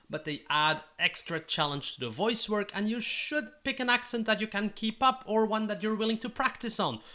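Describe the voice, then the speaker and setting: A man's voice: high-pitched, bright, forceful tone. A man in his thirties with a Dutch accent, a native Dutch speaker, giving upbeat, encouraging tips to camera from a small room, speaking into a mic on a stand. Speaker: YouTube host giving roleplaying tips. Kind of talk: scripted-sounding advice monologue to camera. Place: small indoor room with striped curtains. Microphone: studio mic on a stand in front of him.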